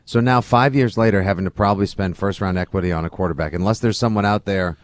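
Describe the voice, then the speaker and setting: A man with a fairly low voice chats casually about sports as a podcast co-host. His delivery is wry and a bit sarcastic. The recording is dry, with no room sound. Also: fast, no room sound.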